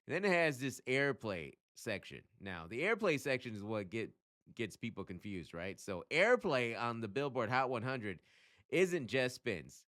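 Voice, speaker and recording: A man hosting his own channel, talking casually and emphatically as he explains things, often throwing in rhetorical questions and quick check-ins. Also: mid-range voice, sing-song, a bit bright. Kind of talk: casual explainer talk to camera.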